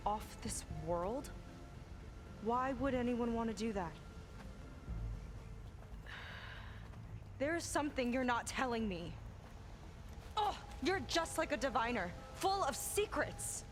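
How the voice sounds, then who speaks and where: An actress playing a proud, guarded young female marine in a video game cutscene, brisk and plain-spoken, with a wry edge. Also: fairly high pitch, sing-song, over music. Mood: suspicious, pressing. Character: proud, guarded young woman marine scout seeking her sister.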